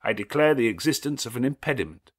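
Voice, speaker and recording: A man voicing a resolute, formal gentleman in an audiobook, speaking distinctly, calmly and steadily as an accuser. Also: mid-range voice, pitched above the performer's own voice, lightly acted. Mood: firm, calm. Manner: distinct, calm, steady, deliberate. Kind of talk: audiobook character acting.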